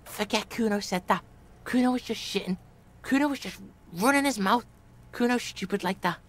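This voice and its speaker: A woman's voice: mid-range voice, normal speed, fairly bright tone, lightly acted. A woman voicing a foul-mouthed, cocky young street boy in a game, brash and sneering. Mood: uneasy bravado. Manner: brash, sneering, speaks of self in third person. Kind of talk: video game character acting.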